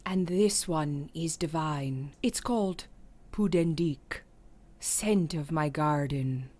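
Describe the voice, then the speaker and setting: Woman playing a low, languid, indulgent nun. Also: takes it slowly, mellow. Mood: sly, coaxing. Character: sly, indulgent nun perfume seller, older woman. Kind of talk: theatrical radio play dialogue.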